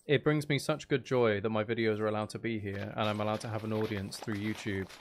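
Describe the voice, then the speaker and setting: A man's voice: on the low side, steady tone, quick pace, a little hiss or hum. A man hosting a livestream, chatting casually in a sarcastic, exasperated ranting manner. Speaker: livestream host.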